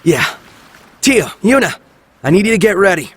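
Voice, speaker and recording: An actor playing an earnest, determined young male team leader with a husky voice in a video game. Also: high-pitched, big pitch swings, moderate pace, long reverb tail. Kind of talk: anime-style video game voice acting.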